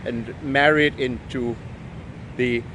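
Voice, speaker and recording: A man's voice: mid-range voice, fairly even intonation, takes it slowly, background noise. A man with a Malaysian Peranakan accent, giving an emphatic, wry monologue to camera with frequent restarts. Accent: Malaysian Peranakan English. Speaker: video essayist.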